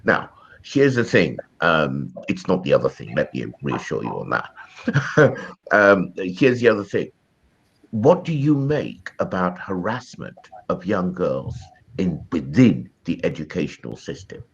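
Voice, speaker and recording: Very deep-voiced man with a head cold. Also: very animated, a little slow, creaky, husky, highs cut off. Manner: chatty, with many ums and asides. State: has a cold, sniffling. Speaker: talk show host. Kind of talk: studio interview. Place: studio.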